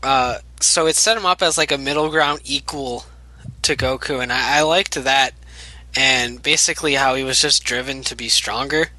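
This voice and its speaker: A man's voice: medium pitch, moderate pace, sharp tone, no room sound, rough recording. A young man in casual podcast chat, chatty, with lots of fillers and frequent laughter.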